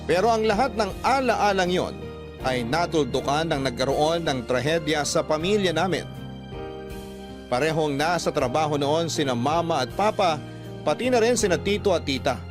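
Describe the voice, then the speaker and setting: A fairly high male voice over clearly heard music, a man reading as a bereaved grandchild. He tells a first-person family story in the style of radio drama narration. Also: animated, moderate pace, natural voice. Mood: sorrowful, reflective. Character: bereaved grandchild telling a family tragedy in a letter. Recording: prominent music under the voice. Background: clear background music.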